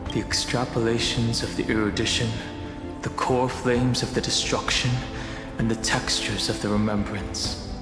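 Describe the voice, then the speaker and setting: An actor playing a calm, self-assured scholarly man in a video game, with a measured, solemn delivery. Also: mid-range voice, natural voice. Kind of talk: dramatic game voice acting. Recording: echoey long reverb under loud music.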